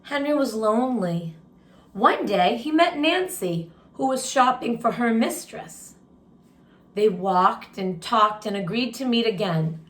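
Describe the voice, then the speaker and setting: Slow-paced woman reading aloud in echoey room. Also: on the high side. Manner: expressive, pauses to ask listeners questions and react. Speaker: story time host, read-aloud teacher. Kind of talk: children's picture book read-aloud with comments to listeners. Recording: roomy, echoing room sound.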